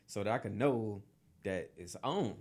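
A man's voice: fairly low pitch, lively intonation, unhurried, darkish tone, husky. A man hosting a casual chat, reflective and affirming, who draws out his phrases.

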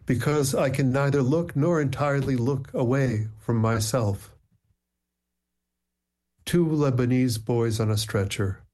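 A man whose first language is Ukrainian, a poet speaking thoughtfully and reflectively in measured phrases as an interview guest. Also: mid-range voice, darkish tone. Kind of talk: thoughtful conversation and poetry reading.

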